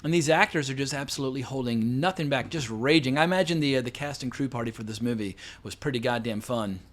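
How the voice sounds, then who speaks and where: A man's voice: medium pitch, fast. Profane, enthusiastic middle-aged male film reviewer. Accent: non-local, not New York. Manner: enthusiastic and profane, swearing for emphasis; long-winded, chatty, with frequent fillers.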